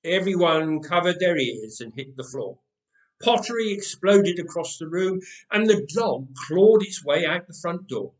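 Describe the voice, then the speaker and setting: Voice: medium pitch, lively intonation, a little slow. A reader narrating a comic first-person story with dry humour, recorded in an echoey room.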